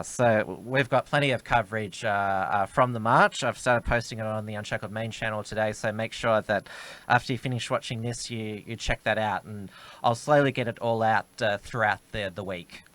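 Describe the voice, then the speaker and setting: A man with a lowish voice co-hosts a political talk stream. He turns loud and emphatic when something annoys him. The recording is dry and close, with no room sound. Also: no echo. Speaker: co-host of a political livestream.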